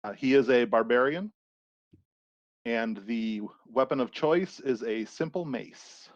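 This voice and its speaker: A man playing a tabletop game, casually describing things in a halting, measured way. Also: medium pitch, no room sound, muffled recording. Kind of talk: casual character introduction.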